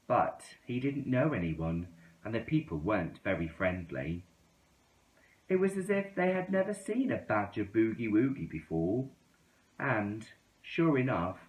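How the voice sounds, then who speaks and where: A man reading a children's story aloud in an expressive storytelling style. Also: on the low side, highs cut off. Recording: roomy, echoing indoor sound.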